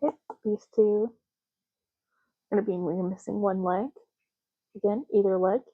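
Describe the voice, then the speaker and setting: A soft-spoken woman doing an ASMR roleplay as a clerk, explaining gently and unhurriedly in short, broken phrases. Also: medium pitch, fairly even intonation, measured pace, breathy edge, no echo, phone-quality sound. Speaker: ASMR creator playing an ID card clerk.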